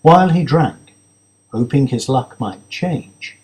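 A male narrator reads a thriller audiobook. His voice sits at a medium pitch, and the delivery is scripted, dramatic storytelling. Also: expressive, takes it slowly, a little mellow.

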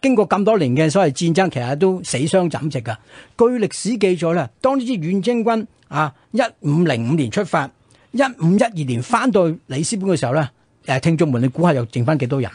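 A man with a Hong Kong Cantonese accent tells history as a radio co-host. He talks quickly in a dry, close sound. He explains conversationally and keeps checking in with short rhetorical questions. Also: fairly high pitch, big pitch swings, darkish tone, husky, dry recording.

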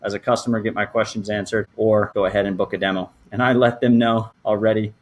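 A man in his thirties with a fairly low voice hosts a show. He explains things step by step in a conversational walkthrough style. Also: little pitch movement, fast talker. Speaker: podcast host.

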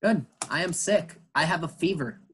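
A man's voice: on the high side, normal speed, slight background noise. A man teaching an online English class, patiently explaining in English and Spanish.

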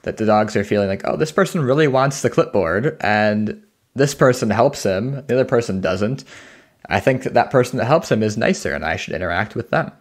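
A man's voice: lowish voice, lively intonation, brisk. A man speaking as an interviewed scientist, explaining his research in a conversational way. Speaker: interviewed dog cognition scientist.

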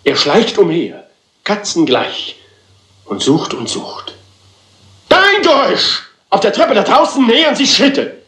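Theatre director for a crime radio play: high voice, hugely animated, dramatic and impatient, self-important. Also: male voice, a little slow, heavily acted. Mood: dramatic, excited. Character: flamboyant, impatient theatre director rehearsing his own play. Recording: slightly roomy radio drama sound.

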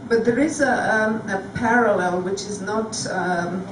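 A woman's voice: medium pitch, unhurried, distant mic, noisy background. A woman with a Belgrade Serbian accent speaking frankly and reflectively on a discussion panel. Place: large theatre stage before an audience. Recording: echoey hall recorded from afar.

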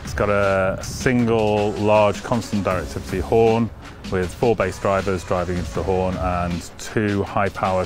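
Low-pitched man presenting over music. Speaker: product presenter. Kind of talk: promotional product explanation. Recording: music bed behind the voice.

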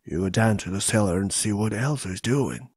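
Gruff, low house master giving orders, done by a storybook reader; a touch husky. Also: brisk, darkish tone, no echo, studio quality. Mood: grumbling. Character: gruff middle-aged father, thirsty master of the house. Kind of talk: storybook reading.

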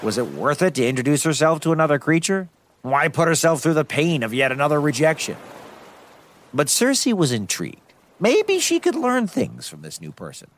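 A man's voice: a little high, sing-song, moderate pace, slight background noise. A man narrating a children's myth as storyteller, in a playful, conversational way. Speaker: storyteller host.

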